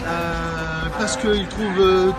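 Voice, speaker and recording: A man giving a playful, halting outdoor city tour as a casual video message, pausing often as he talks. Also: high voice, fairly even intonation, loud music behind the voice. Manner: jokey, hesitant, halting with frequent pauses. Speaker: self-styled tour guide sending a wedding video message. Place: outdoors on city streets and by a river.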